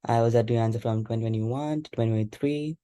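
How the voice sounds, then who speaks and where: A man's voice: medium pitch, fast, warm tone, clear, resonant tone. A young man introducing himself on a student panel in a brief, matter-of-fact way. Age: young adult.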